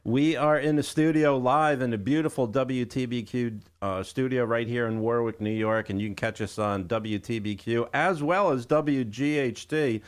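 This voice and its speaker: Chatty male radio host, medium pitch. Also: moderate pace, few pauses, smooth. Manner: folksy, addresses listeners as guys. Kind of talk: chatty live radio hosting. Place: radio studio. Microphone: studio broadcast microphone.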